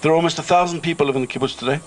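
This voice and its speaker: A man's voice: a little high, rapid-fire, a little hiss or hum. A middle-aged man from South Africa with a South African accent, chairing a kibbutz and giving a chatty, anecdotal speech to a reunion crowd outdoors. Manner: chatty anecdotal storyteller with filler-laden restarts.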